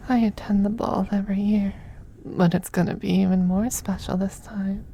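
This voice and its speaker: A performer voicing a possessive, androgynous male vampire master in an ASMR roleplay, soft, breathy and often whispering, coaxing and condescending. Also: mid-range voice, little pitch movement, a little hiss or hum, close to an everyday voice. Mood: silky, anticipating. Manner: slow, purring, coaxing, condescending. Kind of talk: ASMR roleplay, intimate scripted monologue.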